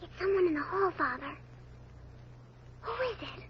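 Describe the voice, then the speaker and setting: A woman's voice: extremely high pitch, moderate pace. A woman playing an innocent, inquisitive little girl in an old radio drama, with a childlike, questioning way of talking. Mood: curious, uneasy. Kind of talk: 1930s radio drama acting. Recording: noisy, dull lo-fi old radio recording.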